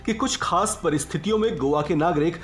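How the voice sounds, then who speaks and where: A man's voice: on the high side, brisk. A man delivering a scripted news voice-over as a narrator. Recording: voice-over with faint music bed.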